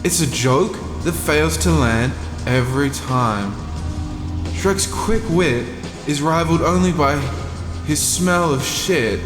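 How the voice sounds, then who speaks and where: A man delivering a mock-serious comic monologue, aggrieved and melodramatic, with deliberate pauses. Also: on the high side. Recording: echoey, long reverb, music underneath.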